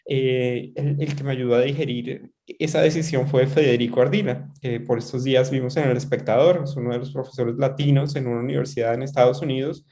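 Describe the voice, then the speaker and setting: A man in his thirties from Bogotá, with a Bogotá Colombian accent, giving reflective, measured answers as an interview guest. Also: medium pitch, steady tone, warm tone. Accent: Bogotá Colombian Spanish. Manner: reflective, measured, with frequent pauses. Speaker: astrophysicist guest.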